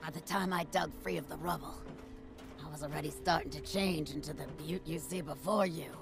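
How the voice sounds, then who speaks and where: Blunt, tough ghoul woman from Pittsburgh for a game, very low and gravelly. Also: steady tone, a little fast, over music. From Pittsburgh, USA. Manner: blunt, wry, plain-spoken. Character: tough gruff ghoul woman, factory worker survivor. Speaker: game character. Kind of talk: video game dialogue.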